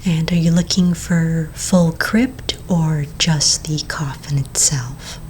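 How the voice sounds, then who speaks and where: A woman voicing a courteous vampire real estate agent in a soft-spoken, mostly whispered ASMR roleplay, polite, unhurried and attentive. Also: low voice, steady tone, slight background noise. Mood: soothing, helpful.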